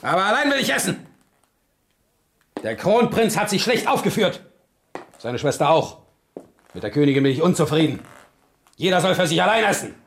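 A man's voice: sits high, very animated, normal speed, pauses often, a bit bright, exaggerated voice. An actor playing a tyrannical, choleric middle-aged Prussian king in a German radio play, with an imperious, blustering manner. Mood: barking orders.

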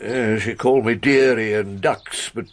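A man's voice: fairly low pitch, fairly even intonation, normal speed, lightly acted. An actor playing a muddled, respectable elderly English clergyman, stammering mildly and apologetically in a radio drama. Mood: mildly explaining. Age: older. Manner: hesitant, stammering, genteel and flustered.

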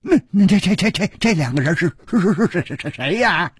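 A man's voice: sits high, animated, a little fast, breathy edge, dry recording, studio quality, voice pushed up, exaggerated voice. A man voicing a frightened young Shaolin disciple who stammers nervously.

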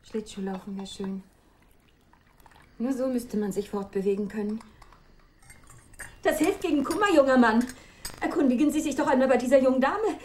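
Casting: frail but defiant mother in a radio play, higher voice, swinging melody, theatrical and wistful. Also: expressive, moderate pace, pauses often, a little mellow, a little hiss or hum. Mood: wistful, teasing. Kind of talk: German radio drama acting.

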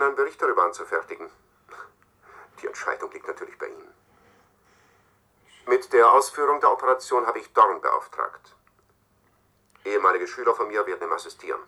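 Sharp, husky, cold male scientist. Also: medium pitch, animated. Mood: coolly formal. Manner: formal, measured, matter-of-fact. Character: cool, domineering male scientist leaving recorded instructions to successor. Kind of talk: German science-fiction radio play monologue.

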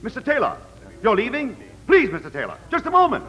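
An actor playing a polite, formal, easily flustered bank teller in 1940s radio drama style. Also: male voice, extremely high pitch, wildly swooping pitch, brisk, lo-fi sound, full character voice. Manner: deferential, formal. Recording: reverberant old radio broadcast.

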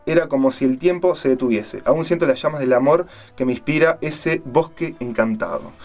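A man with a Rioplatense Spanish accent hosting a radio show, telling a story casually and haltingly, searching for his words. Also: a little high.

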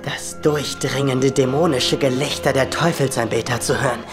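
A teenage older brother acted by a man with a medium-pitched voice. He tells a creepy tale in an audio drama, with clear music underneath. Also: fairly even intonation, moderate pace, close to an everyday voice. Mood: ominous, teasing. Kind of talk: German audio drama acting.

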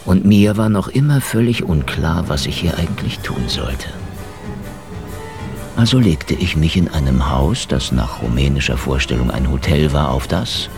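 An actor playing a cynical, hard-drinking KGB investigator in a noir radio drama, sardonic, deadpan and foul-mouthed. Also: male voice, extremely low pitch, moderate pace. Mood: brooding, cynical. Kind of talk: noir radio drama, first-person narration and dialogue. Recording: music behind the voice. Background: prominent music.

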